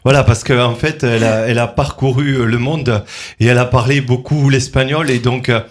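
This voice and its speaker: A male radio host speaking casually in a studio, stopping often to search for words with fillers and restarts. His voice sits at a middle pitch for a man. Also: fairly even intonation, moderate pace. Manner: hesitant, many fillers and restarts.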